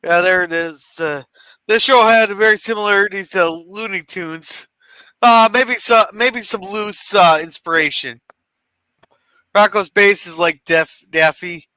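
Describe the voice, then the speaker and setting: A man hosting a casual live stream chat, laughing often and talking loudly. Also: sits high, unhurried, clear, resonant tone, dry recording. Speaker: stream host.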